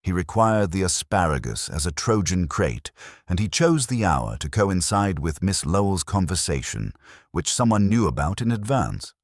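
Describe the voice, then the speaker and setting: A man's voice: deep voice, very animated, moderate pace, no echo, clean studio sound, pitched above the performer's own voice. A man voicing an imperious, eccentric master detective in an audiobook, with formal, pompous, precise speech. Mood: measured, commanding. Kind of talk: acted dialogue in a detective audiobook.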